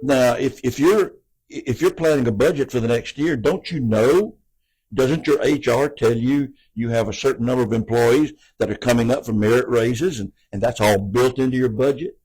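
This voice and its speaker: A man's voice: medium pitch, moderate pace, darkish tone, breathy edge, low-quality recording. A man, answering interview questions in an earnest, folksy way and often repeating words as he goes. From American South. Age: 80s. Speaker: city council candidate.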